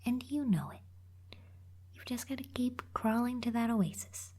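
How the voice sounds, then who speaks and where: A woman acts out a young cactus girl in an ASMR roleplay, teasing and blunt with a caring core. Her voice is mellow and husky with plenty of breath in it. She speaks at an unhurried pace, gently encouraging the listener. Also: on the high side, expressive.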